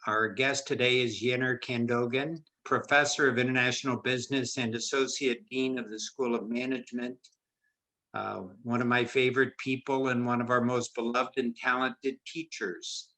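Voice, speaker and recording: Bright-voiced university interview host. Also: male voice, mid-range voice, flat delivery, normal speed. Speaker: interview host, business law lecturer. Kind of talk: hosting a faculty interview.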